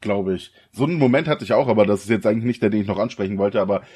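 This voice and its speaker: A man's voice: lowish voice, rapid-fire, breathy edge. Casual young man on a podcast. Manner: relaxed slangy youth talk, laughs often. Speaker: podcast co-host. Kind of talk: casual chat.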